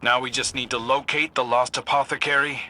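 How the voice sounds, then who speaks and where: A man's voice: mid-range voice, flat delivery, fairly quick, sharp tone, slight background noise. An actor playing a matter-of-fact, encouraging male space agent briefing a hero in a video game. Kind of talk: video game cutscene acting. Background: cinematic music.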